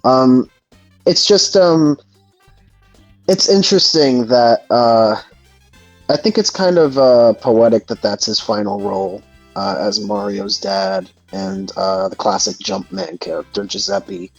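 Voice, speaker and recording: A man's voice: mid-range voice, expressive, slow, creaky, no room sound. A man in a casual discussion, speaking in a reflective, hesitant way and often searching for words. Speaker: host of a gaming discussion. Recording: dull lo-fi mic, music underneath. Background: background music.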